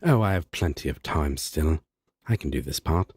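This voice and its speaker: A man's voice: rumbling low, animated, normal speed, darkish tone, no echo, studio quality. A man, a voice actor, talking casually off script between takes, muttering, breaking off and swearing freely. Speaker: audio roleplay voice actor. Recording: clearly noisy background, passing vehicles.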